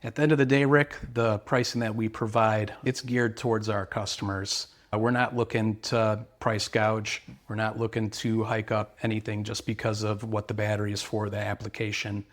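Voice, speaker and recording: A man's voice: fairly low pitch, little pitch movement, normal speed, hardly any pauses. A man, an earnest, sales-minded company representative explaining products and service in interview answers.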